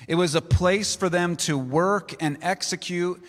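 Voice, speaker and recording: Male pastor, slightly high voice, slow deliberate scripture reading, big echoing hall. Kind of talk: sermon. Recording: long reverb of a large hall.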